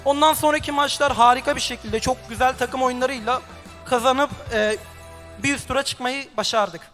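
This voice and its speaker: A man from Turkey, an esports player, answering in a measured, steady way. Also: very high-pitched, soft background music.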